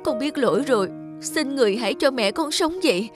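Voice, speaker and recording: A woman as an emotional young cartoon son, high-pitched. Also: lively intonation, a little fast, over music. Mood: desperate pleading. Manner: pleading, emotional. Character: remorseful, reckless young man, devoted son. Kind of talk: animated fairy tale voice acting.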